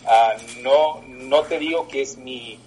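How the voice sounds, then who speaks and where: A man in his twenties whose first language is Portuguese, answering interview questions in halting speech with many pauses. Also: medium pitch, darkish tone, a little hiss or hum, poor audio. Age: 20s. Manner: halting, with many filler sounds. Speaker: political candidate. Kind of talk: interview by phone.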